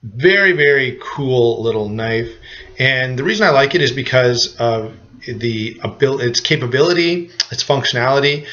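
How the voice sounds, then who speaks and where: Guy with a cold rambling thru a knife review, mid pitch, kinda dull lo-fi sound. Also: lively intonation, normal speed, fairly bright tone. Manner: easygoing, rambling with frequent restarts and "uh" fillers. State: has a cold. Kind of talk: casual product review to camera.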